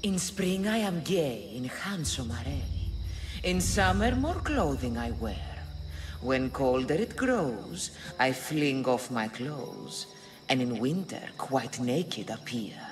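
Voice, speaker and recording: A woman's voice: very deep voice, lively intonation, takes it slowly, soft background music, full character voice. A woman voicing an ancient, imperious female Sphinx in a video game, reciting grandly and tauntingly. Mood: riddling, menacing. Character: ancient riddling Sphinx, imperious female monster guarding power. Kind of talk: video game character acting.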